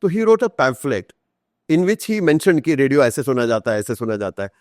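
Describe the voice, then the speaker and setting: भारतीय लहजे में किस्से सुनाता पुरुष, साफ़ सूखी रिकॉर्डिंग. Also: male voice, medium pitch, sing-song, measured pace, a little mellow, no echo, studio quality. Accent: Indian. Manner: explanatory, acts out quoted remarks; switches between Hindi and English. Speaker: author, interview guest. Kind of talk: conversational storytelling on a podcast.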